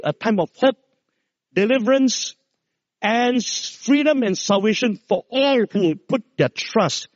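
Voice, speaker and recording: A middle-aged man preaching a Christmas sermon, with a loud voice and a storytelling manner, dropping low to stress key words. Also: dry recording. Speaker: pastor. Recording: dull, dry lo-fi sound.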